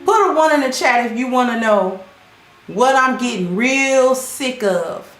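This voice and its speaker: A woman hosting her channel, chatting casually with viewers and greeting them by name, her voice sounding hoarse. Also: on the high side, expressive, measured pace, a bit bright. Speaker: channel host. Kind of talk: casual livestream commentary. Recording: roomy echo with faint music.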